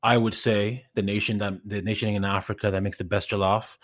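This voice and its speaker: A man in his thirties, from Ghana, talking as a podcast guest in a relaxed, halting way with many fillers and restarts. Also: fairly low pitch. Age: 30s. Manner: hesitant, many fillers and restarts, laughs easily. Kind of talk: casual interview chat.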